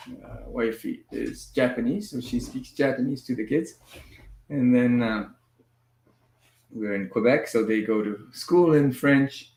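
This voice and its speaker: A man hosting a casual family livestream from home, moving easily between languages with a relaxed, filler-heavy way of talking. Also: mid-range voice, a little slow, slight background noise. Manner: switches between languages mid-sentence. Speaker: livestream host and father. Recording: echoey room sound.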